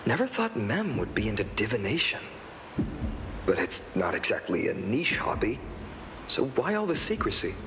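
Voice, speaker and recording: Sincere young hero in reverb. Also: male voice, fairly low pitch, wildly swooping pitch, normal speed, a bit bright. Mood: teasing. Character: gentle, thoughtful young male hero with a lost hometown. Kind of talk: English video game voice acting. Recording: long reverb, clear background noise.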